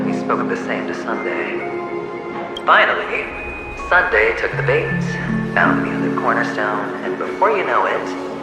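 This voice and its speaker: A sardonic, taunting male doppelganger from a video game, heard through a heavy echo over loud music. He draws his words out with mocking relish, his pitch swooping up and down. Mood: smug, knowing. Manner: sardonic, theatrical, drawn-out mocking delivery. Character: taunting mocking inner self of a cunning gambler, male. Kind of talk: theatrical video game voice acting. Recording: heavily echoing, with music behind.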